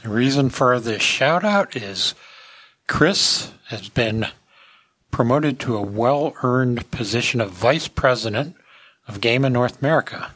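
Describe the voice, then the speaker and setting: A man's voice: medium pitch, animated, a little slow, a bit bright, no room sound. A man hosting an industry podcast in a casual talking style. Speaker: podcast host.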